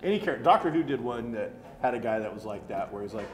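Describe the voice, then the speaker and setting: An American man in his thirties hosting a casual geek culture chat, talking in an animated, chatty way. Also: mid-range voice, quick pace. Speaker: talk show host. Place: roomy indoor restaurant at a table. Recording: roomy echo, faint background noise.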